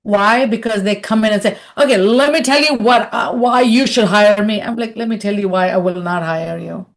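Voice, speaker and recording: Animated middle-aged woman on a webinar call. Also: mid-range voice, moderate pace. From India. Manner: animated, acts out quoted voices, conversational. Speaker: webinar speaker and consultant. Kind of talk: webinar Q&A answer.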